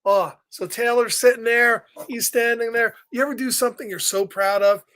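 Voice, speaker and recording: A man's voice: very high voice, steady tone, moderate pace, fairly bright tone. A man hosting a live picture-book reading for children, chatty and exclamatory, often breaking off to ask listeners questions. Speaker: children's story reader and host. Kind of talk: live picture-book read-aloud with casual asides.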